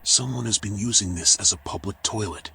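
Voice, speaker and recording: An actor playing a world-weary raccoon detective in a noir video game, terse, dry and guarded. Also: male voice, fairly low pitch, brisk, creaky, pushed and bright, a little hiss or hum. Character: male raccoon private eye, world-weary, sardonic, guarded.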